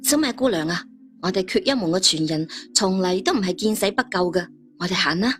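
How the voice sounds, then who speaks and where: A woman voicing a loyal, resolute male adventurer who speaks gravely and earnestly. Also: on the low side, expressive, a little fast, fairly bright tone, soft background music.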